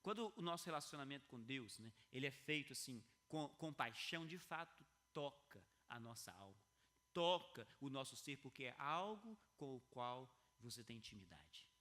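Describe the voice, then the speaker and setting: A male pastor giving an earnest video sermon, often repeating key phrases for emphasis and swinging between quiet, low passages and loud, high ones. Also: big pitch swings, moderate pace.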